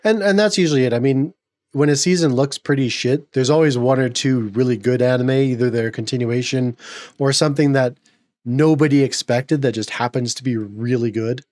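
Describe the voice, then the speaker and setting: Man getting over a cold, a little gravelly. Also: mid-range voice, a little fast, a little mellow, no room sound. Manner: opinionated, rambling with repeated restarts. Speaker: podcast host. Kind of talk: casual podcast chat.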